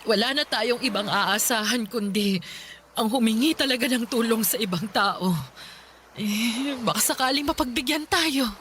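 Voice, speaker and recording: A woman's voice: on the high side, moderate pace, a bit bright, a little hiss or hum, natural voice. An actress playing a worried mother of six, the wife of a poor fisherman, in a radio drama. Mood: resigned. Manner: anxious, tearful.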